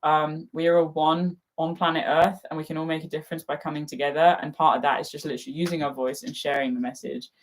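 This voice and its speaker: Giggly low-voiced English woman hosting. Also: flat delivery, fast talker, few pauses, slight background noise, poor audio. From England. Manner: giggly, chatty, laughs often. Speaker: host. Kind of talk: casual live chat.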